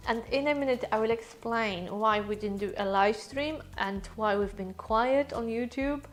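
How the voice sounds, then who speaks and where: A woman chats through a casual live stream, greeting her viewers one by one and laughing easily. Her voice sits a little high, with faint music in the background. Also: moderate pace. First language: Polish. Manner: chatty, greets viewers by name, laughs often. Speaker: vlogger hosting a live stream. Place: holiday rental apartment.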